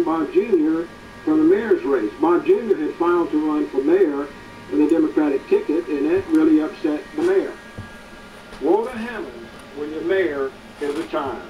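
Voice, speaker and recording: A man, a community activist hosting a local show, gives an indignant, preachy commentary with many hesitations. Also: fairly high pitch. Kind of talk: editorial commentary to camera. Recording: distant, dull, slightly noisy recording.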